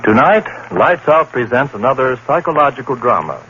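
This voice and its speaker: A man's voice: medium pitch, sing-song, normal speed, pushed and bright, faint music behind. A man announcing a radio drama in a theatrical, ominous 1930s style. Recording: dull old radio broadcast with hiss.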